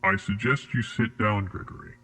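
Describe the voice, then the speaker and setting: An actor in an audio drama playing a cold, composed, mysterious male interrogator, measured, formal and menacingly polite. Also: fairly low pitch, steady tone, sharp tone, husky. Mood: calm, grave.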